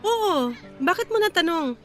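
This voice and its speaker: A woman's voice: very high-pitched, big pitch swings, a little slow, music in the background, full character voice. A woman with a Filipino accent playing an anguished, short-tempered young aunt in a radio drama. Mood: everyday, concerned.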